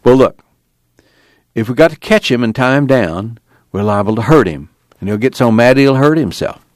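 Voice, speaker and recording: Man acting practical farm son, firm, plain-spoken, country dialect, lively pitch movement, dry sound, audiobook character. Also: normal speed, no room sound, close to an everyday voice. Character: practical, decisive grown farm son taking charge.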